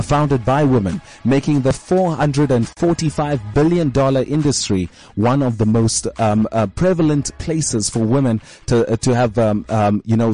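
A man's voice: medium pitch, warm tone, poor audio. A man hosting a casual interview show, rambling with many fillers and restarts. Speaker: show host.

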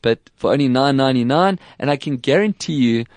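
A man with an English South African accent hosting a casual tech chat, animated and quick to put on voices when quoting people. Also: mid-range voice, no echo. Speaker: podcast host.

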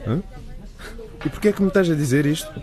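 Animated, defensive teenage boy, acted by a man. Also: on the high side, fast, some chatter behind, lightly acted. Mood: defensive, shocked. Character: defensive, evasive teenage boy denying responsibility. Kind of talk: radio drama acting.

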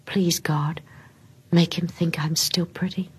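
A woman's voice: fairly low pitch, fairly even intonation, slow pace, husky, exaggerated voice. A woman reading a story and voicing a loving, anxious young wife, impulsive and pleading, with a breathless manner. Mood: whispered prayer.

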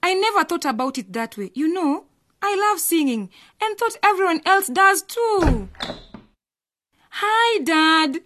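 High, lively young schoolgirl. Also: measured pace, heavily acted. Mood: shy, hopeful. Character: eager, shy young schoolgirl, the daughter. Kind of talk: radio drama acting.

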